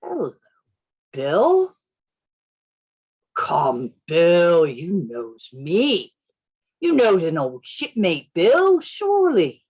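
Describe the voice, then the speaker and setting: Sly pirate seaman, played by a woman, radio drama: oily and wheedling, unhurried, swooping pitch. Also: huge pitch swings, a little mellow, slightly rough, heavily acted. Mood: mock friendly. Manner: oily, wheedling, rustic sailor's grammar. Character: sly, wheedling pirate sailor, male, falsely friendly. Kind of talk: radio play acting.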